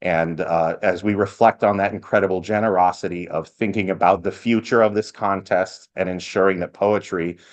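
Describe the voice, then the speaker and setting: A man's voice: low voice, fairly even intonation, moderate pace, hardly any pauses. A man speaking as host of a live event, giving a part-scripted welcome speech with lightly joking asides. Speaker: event host, master of ceremonies.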